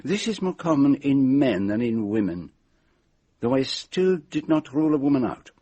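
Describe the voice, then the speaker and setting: An actor playing a shrewd middle-aged Belgian detective in a radio drama, with a French-speaking Belgian accent and deliberate, precise, dramatic delivery. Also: male voice, mid-range voice, very animated, mellow, breathy edge, muffled recording. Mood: measured, assured.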